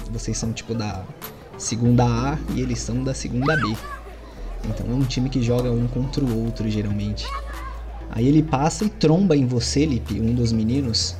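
A man narrating a tabletop game as game master, in a loose, rambling way with many fillers. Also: medium pitch. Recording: music under the voice.

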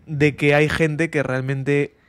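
A man with a Peruvian Spanish accent, co-hosting a podcast and telling stories in a playful, animated, slang-heavy way. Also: a little high, takes it slowly, clean tone, dry recording. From Peru. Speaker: podcast co-host. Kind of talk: casual chat.

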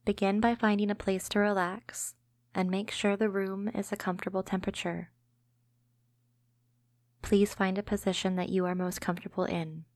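A woman hosts a guided relaxation, reading scripted imagery in a calming, measured manner. Her medium-pitched voice stays quite level, with little rise or fall. The sound is dry, free of room echo. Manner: gentle, calming, measured delivery. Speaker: host and narrator of a guided meditation podcast.

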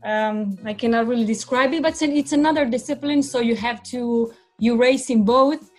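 A woman's voice: on the high side, moderate pace, faint music behind. A woman from Croatia, a guest on an interview podcast, talking casually with many fillers and restarts.

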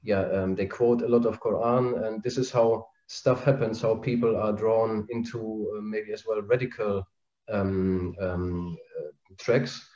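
Echoey, halting man. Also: on the low side, fairly even intonation, warm tone. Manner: halting, searching for words. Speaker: interviewee, panel participant. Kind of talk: informal discussion, personal reflection. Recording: dull lo-fi, echoey room.

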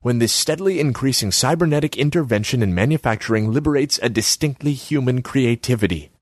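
Man voicing haughty young female artist, high, preachy, dry close sound. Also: a little fast, no room sound, lightly acted. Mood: haughty lecturing. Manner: scornful, lecturing, self-important.

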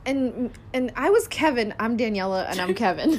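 A woman's voice: a little high, animated, moderate pace, darkish tone, slight background noise. A young woman co-hosting a casual podcast, rambling, giggling and swearing casually. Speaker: podcast co-host. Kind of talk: casual chat.

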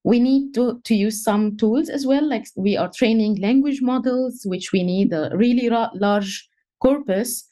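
A young woman from Egypt, a researcher answering interview questions in a halting way, with many fillers and restarts. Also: on the high side, mellow. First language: Egyptian Arabic. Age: young adult. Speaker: guest researcher.

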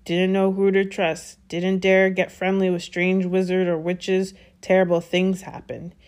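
Storybook giant man, played by a woman: gruff, good-hearted, anxious, folksy dropped consonants. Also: on the low side, flat delivery, measured pace, darkish tone, natural voice. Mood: grave, uneasy. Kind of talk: storybook character voice.

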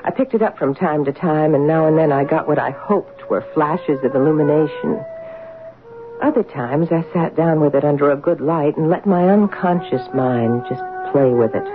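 An actress playing an earnest, stubborn American detective's wife in an old radio drama, with a precise, self-assured delivery. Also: female voice, sits low, normal speed, phone-quality sound, faint music behind. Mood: musing. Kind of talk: old-time radio drama acting, first-person narration and dialogue. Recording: noisy band-limited old radio broadcast.

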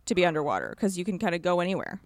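A woman's voice: fairly low pitch, very animated, very fast, no room sound, clean studio sound. A woman talking casually and emphatically about video games, with an enthusiastic conversational manner. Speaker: podcast co-host discussing video games. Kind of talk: casual chat, game review.

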